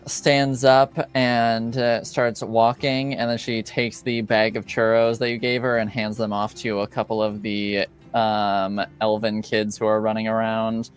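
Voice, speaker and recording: A man as game master, narrating a tabletop game in a measured way. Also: on the low side, clean tone.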